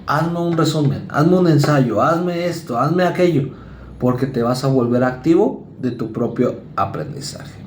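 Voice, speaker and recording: A Mexican man lectures a university psychology class in a relaxed, conversational way, now and then acting out quick exchanges with students. His voice sits at an ordinary male pitch. The recording is roomy and echoey, with a faint background ambience. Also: slight background noise. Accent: Mexican Spanish. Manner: conversational, acts out students and parents in quick asides.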